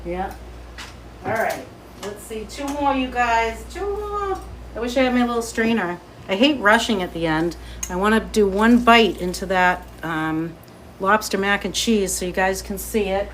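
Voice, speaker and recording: A woman with a light Boston accent hosting a live cooking demo from her kitchen, chatty and excitable as she talks to viewers. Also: medium pitch, unhurried, slight background noise, poor audio. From Boston area. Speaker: cooking show host. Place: home kitchen, indoors.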